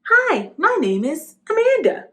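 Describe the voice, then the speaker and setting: A woman's voice: very high voice, huge pitch swings, a little slow, pushed and bright, pitched above the performer's own voice, exaggerated voice. Woman voicing a shy, earnest young girl. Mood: forced cheer. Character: shy young Korean girl, new at school.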